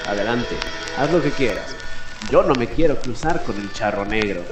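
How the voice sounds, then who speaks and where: A man's voice: medium pitch, lively intonation, normal speed. Male late-night mystery radio host, echoey. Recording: roomy echo, music behind. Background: music.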